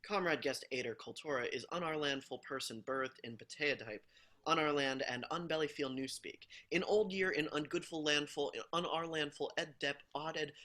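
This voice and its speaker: Man reading stern zealot assistant, bright tense voice, clipped jargon. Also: fairly high pitch, moderate pace. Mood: dogmatic. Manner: clipped invented jargon, stiff and dogmatic. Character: rigid patriotic teacher's assistant, stern zealot. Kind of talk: amateur play reading.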